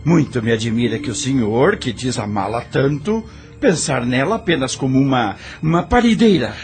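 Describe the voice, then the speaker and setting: An actor playing a devoted, anxious young doctor husband in an audio drama, earnest and protective. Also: male voice, mid-range voice, animated, normal speed, faint music behind, close to an everyday voice. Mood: indignant.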